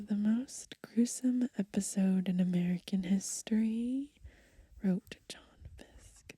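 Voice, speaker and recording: Breathy whispering young woman, slow and soft. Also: medium pitch, dry recording. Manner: gentle, unhurried, softly murmured. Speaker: ASMR video host. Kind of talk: soft-spoken whispered ASMR. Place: outdoors on grass in light wind.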